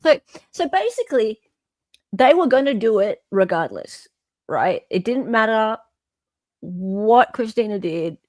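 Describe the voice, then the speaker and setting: A woman's voice: fairly high pitch, big pitch swings, unhurried, a little mellow, no echo. A woman with an Australian accent hosting a casual commentary, thinking aloud and rephrasing as she works through details. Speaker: host.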